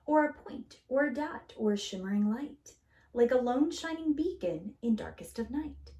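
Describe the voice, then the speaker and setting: Female preschool storyteller: theatrical picture-book read-aloud, highly animated pitch, stretches key words for small children. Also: normal speed.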